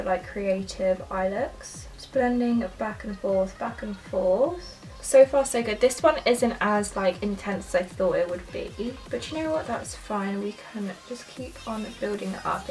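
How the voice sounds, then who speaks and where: A young woman talks her viewers through a makeup look in a chatty, upbeat way, her speech peppered with "like" and "so". She sits a little back from the camera mic, so her voice sounds slightly distant. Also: mid-range voice. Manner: chatty and enthusiastic, lots of "like" and "so". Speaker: beauty vlogger. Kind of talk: casual makeup tutorial narration.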